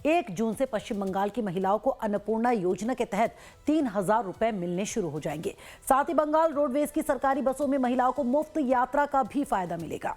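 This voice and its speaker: A woman's voice: fairly high pitch, expressive, brisk, a little mellow. A woman reading scripted news as an anchor.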